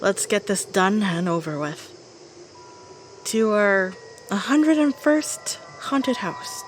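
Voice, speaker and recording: A woman's voice: mid-range voice, sing-song, slow pace, a little hiss or hum, poor audio. A woman playing a weary old veteran witch in a scripted audio drama, dry, wistful and grumbling. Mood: wry, weary.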